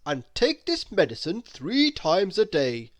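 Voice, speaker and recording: A woman's voice: fairly low pitch, huge pitch swings, measured pace. A woman voicing a grave male cartoon doctor in a children's storybook, giving firm instructions. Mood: firm concern.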